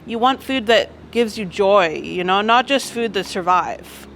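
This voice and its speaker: A woman's voice: mid-range voice, animated, unhurried, fairly bright tone, poor audio. A woman giving a casual, informal personal account in a documentary interview. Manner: informal, conversational.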